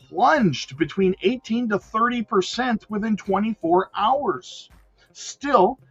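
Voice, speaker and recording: A man's voice: sits high, slightly rough, muffled recording. A man hosting a livestream, reading market news and chatting to viewers, losing his voice and peppering his talk with "right?" and "guys". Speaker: crypto livestream host. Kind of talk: livestream commentary mixing read news and chat.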